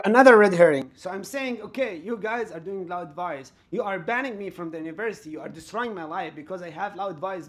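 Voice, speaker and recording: A man giving an aggrieved, repetitive commentary on his own dispute, addressing viewers directly. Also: high-pitched. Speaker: student telling his own complaint. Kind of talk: personal commentary over recordings.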